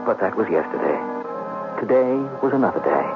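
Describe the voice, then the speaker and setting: A man acting a scheming gambler in a 1970s radio drama, with a smooth, sly, theatrical delivery. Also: lowish voice, sing-song, brisk, over loud music. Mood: sly narrating. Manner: theatrical, sly, feigning innocence. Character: scheming, smooth gambler brother narrating his own plot. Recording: muffled, noisy 1970s radio broadcast.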